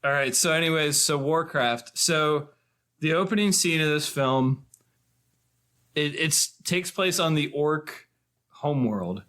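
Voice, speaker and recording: A man's voice: a little high, unhurried, fairly bright tone. A man hosting a casual film chat, talking in a rambling, informal, slangy way. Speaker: podcast host. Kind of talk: casual chat about films.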